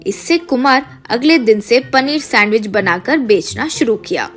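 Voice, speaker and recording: High-pitched woman narrating a story. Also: animated, a little slow, faint music behind. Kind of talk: scripted moral story narration.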